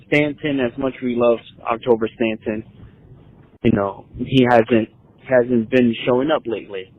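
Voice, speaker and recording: Male caller, middle pitch, measured and a little hesitant, casual sports talk over a tinny phone line. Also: steady tone.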